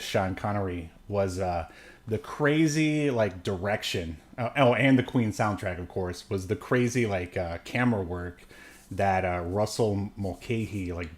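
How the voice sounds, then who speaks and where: A man in his late thirties co-hosting a podcast, chatting casually about films in a halting, rambling way with trailing asides. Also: on the low side. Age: late 30s. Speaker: podcast co-host. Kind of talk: casual film review chat.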